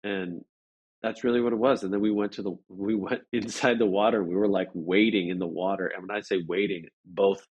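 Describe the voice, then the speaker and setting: A man with a fairly low voice talks as a guest in a casual interview. He recounts things conversationally, circling back and repeating phrases as he feels his way through each thought. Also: steady tone, darkish tone, husky, dull audio. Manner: halting, rambling, with repeated phrases.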